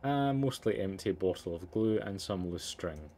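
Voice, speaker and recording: A man's voice: low voice, expressive, moderate pace, dark tone. A man doing let's play commentary and reading a game's narration aloud. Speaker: let's player. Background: game music.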